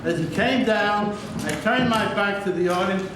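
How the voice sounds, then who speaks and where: A man's voice: high voice, moderate pace. A man telling joking anecdotes to a live audience in a chatty, casual way. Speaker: stage performer telling anecdotes. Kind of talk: casual stage storytelling. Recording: echoey hall from far off, noisy.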